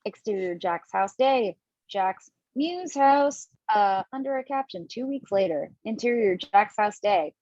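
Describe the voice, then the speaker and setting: A woman's voice: mid-range voice, expressive, a little slow, a little mellow, no echo, muffled recording. Casual woman reading stage directions. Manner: casual, chatty reading with asides and self-corrections. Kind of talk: amateur table read narration.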